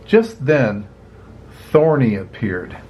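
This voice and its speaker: An older man reading a children's storybook aloud in an expressive, unhurried way, adding chatty little asides. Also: medium pitch, highs cut off, a little hiss or hum. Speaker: grandparent reading picture books aloud.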